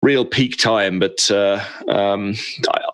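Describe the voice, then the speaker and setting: A low-voiced man joins a casual gaming chat as a guest. He hesitates a lot, stacks up "uh"s and starts his sentences over and over. The recording is dry, with no room in it. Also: fairly bright tone, clean tone, no room sound, low-quality recording. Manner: halting, full of "uh" and restarts. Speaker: podcast guest.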